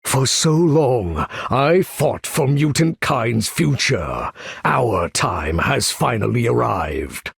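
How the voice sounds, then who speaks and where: A male actor playing a proud, imperious older supervillain commander in a video game, with a gravelly, husky voice and grandiose, menacing, formal delivery. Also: medium pitch, big pitch swings, takes it slowly, no echo, studio quality, heavily acted. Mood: grave pride. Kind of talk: theatrical video game character voice lines.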